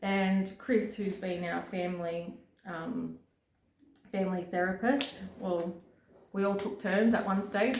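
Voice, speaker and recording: A woman with a croaky voice, hosting a rambling, casual chat full of fillers. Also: lowish voice, reverberant, a little hiss or hum, rough recording. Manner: chatty, rambling with many fillers. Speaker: host.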